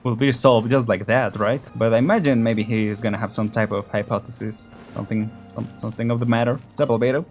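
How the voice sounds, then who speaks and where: A man's voice: medium pitch, fairly even intonation, quick pace, few pauses, darkish tone, faint music behind. A man giving casual, slangy playthrough commentary as a gaming host, with informal muttered reactions.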